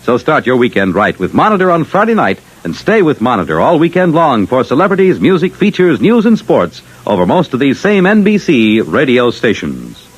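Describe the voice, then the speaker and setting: A man's voice: mid-range voice, huge pitch swings, normal speed, few pauses, slightly rough, poor audio. An American man announcing in a dramatic 1950s radio style, reading scripted program copy.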